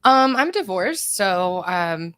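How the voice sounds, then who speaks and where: A woman's voice: medium pitch, very animated, takes it slowly, a bit bright, clean tone, no echo, studio quality. A woman in her thirties, a podcast panel guest, answering in a self-assured, direct way. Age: 30s. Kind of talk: casual panel chat.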